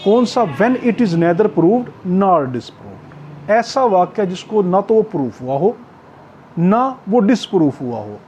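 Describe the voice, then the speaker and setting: A man with a Pakistani accent giving an explanatory law lecture, going step by step, repeating points, checking in often and slowing down to read clauses in English. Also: sits high, very animated, darkish tone, slight background noise. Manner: repetitive step-by-step explaining with frequent check-ins; switches into slow English when reading out clauses. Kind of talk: explanatory exam-prep lecture, reading clauses and glossing them.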